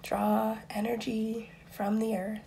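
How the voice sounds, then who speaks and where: Slow, soft woman guiding meditation. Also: fairly high pitch, level tone, slight background noise, low-quality recording. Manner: soft, breathy, often near whisper; soothing, unhurried instruction with long pauses. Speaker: meditation guide. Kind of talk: guided meditation.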